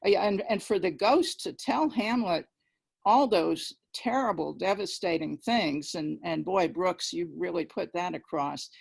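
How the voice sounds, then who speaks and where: A woman's voice: fairly low pitch, a little slow, gravelly, slightly rough. A woman speaking emphatically in an informal literary discussion on a video call.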